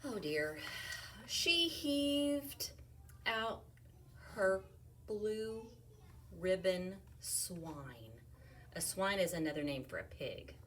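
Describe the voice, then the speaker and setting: A woman reading a picture book aloud to children in an animated way, asking them questions and sometimes dropping to a whisper. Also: medium pitch, extremely slow, long pauses, distant mic, a little hiss or hum. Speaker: storytime reader, teacher.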